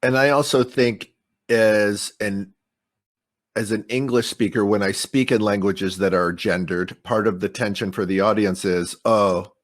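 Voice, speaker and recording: A man's voice: on the low side, steady tone, measured pace. A man from the United States, an improviser speaking on a panel, thinking aloud with frequent fillers. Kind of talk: online panel discussion.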